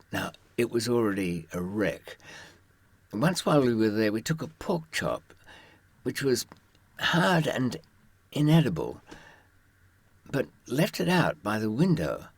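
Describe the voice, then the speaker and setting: A man with a husky voice telling first-person stories and advice informally, with wry, understated humour. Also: fairly low pitch, dry recording. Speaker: author and former prisoner giving advice.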